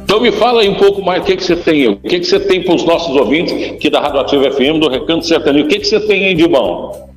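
A man's voice: on the high side, lively intonation, fast talker. A man hosting a live radio segment from a store, teasing his guest with playful questions. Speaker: radio host. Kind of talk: lively live radio interview. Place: store, on location. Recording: echoey radio broadcast with music.

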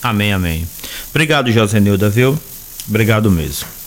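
A lowish male voice, speaking as a radio host. He talks in a calm, reassuring way and often blesses his listeners. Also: normal speed. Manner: warm, reassuring, religious blessings. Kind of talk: call-in radio show.

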